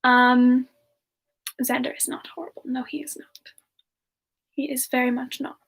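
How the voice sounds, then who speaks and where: A woman playing a playful, affectionate and coy female demon siren, speaking softly and hesitantly in a semi-ASMR question-and-answer style. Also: sits high, flat delivery, a little slow, slightly rough, exaggerated voice. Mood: diplomatic, hesitant. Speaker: host answering audience questions in character.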